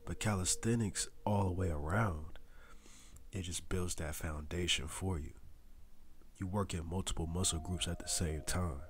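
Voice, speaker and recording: A man's voice: deep voice, steady tone, moderate pace, a little hiss or hum. A young man giving a casual, slangy motivational voice-over about training, talking straight to his viewers like a coach. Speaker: fitness vlogger.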